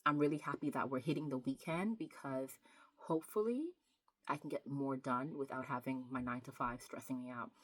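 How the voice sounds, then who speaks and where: A woman's voice: a bass voice, a little fast. A woman chatting casually as a book vlogger, talking emphatically and repeating words to stress them. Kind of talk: casual chatty vlog about writing.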